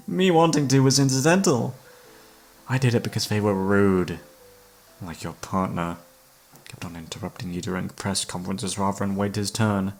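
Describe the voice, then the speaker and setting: A man's voice: on the low side, moderate pace. A man playing an obsessive, flamboyant serial killer in an ASMR audio drama, flirtatious and menacing, with a taunting, mock-polite manner. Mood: amused menace.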